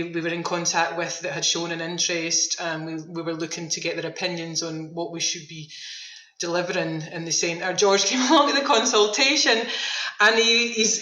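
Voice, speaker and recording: A woman's voice: on the low side, bright, forceful tone. A woman answering interview questions in a chatty, enthusiastic, conversational way. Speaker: veterans' activity centre manager, interview guest. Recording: roomy, echoing sound.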